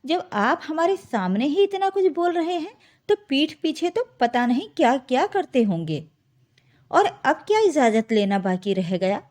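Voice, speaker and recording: A woman's voice: sits high, very animated, unhurried, a little mellow. A woman voicing a protective middle-aged mother, firm and reproachful, in a family story. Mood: indignant. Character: protective middle-aged mother confronting her daughter's in-laws.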